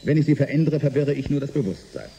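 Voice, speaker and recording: A man playing an embittered middle-aged inventor in a German science fiction radio drama, speaking in a deliberate, wry, needling way. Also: mid-range voice, sing-song, creaky, dark tone, dull audio, a little hiss or hum. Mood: wistful, resigned. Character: embittered middle-aged male inventor turned saboteur, sardonic and resigned.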